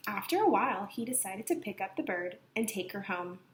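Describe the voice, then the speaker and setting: A woman narrates a picture book for children in a lively, swooping voice of medium pitch. She leans on words for dramatic effect. The room is echoey, with slight noise behind her. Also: brisk. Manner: expressive, dramatic emphasis for children. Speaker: storybook reader. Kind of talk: animated children's read-aloud narration.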